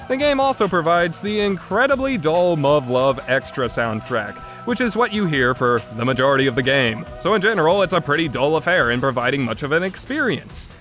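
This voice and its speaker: A man's voice: medium pitch, a bit bright. Guy doing a blunt sarcastic game review, very up and down voice, bit of music behind. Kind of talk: opinionated review commentary.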